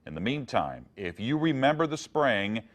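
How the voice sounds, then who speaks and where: A man's voice: mid-range voice, sing-song, normal speed. A man with an American accent reading scripted television news as an anchor.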